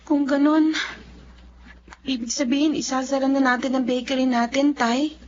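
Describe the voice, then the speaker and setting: Woman playing resilient twenty-year-old Filipina daughter, high voice, gently firm, TV drama, slightly dull sound. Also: fairly even intonation, moderate pace, a little hiss or hum, lightly acted. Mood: concerned. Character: selfless, resilient young working daughter who gave up studies. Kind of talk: Filipino television drama acting. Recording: dull audio under music.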